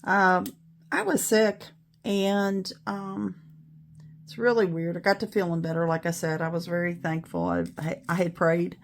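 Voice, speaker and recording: A middle-aged woman talks slowly in a low, gravelly voice that is still raspy from a recent illness. She chats and rambles as she works, apologizing for her slips with easy self-deprecation. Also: animated, a little hiss or hum. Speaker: beading video host. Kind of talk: casual tutorial chat.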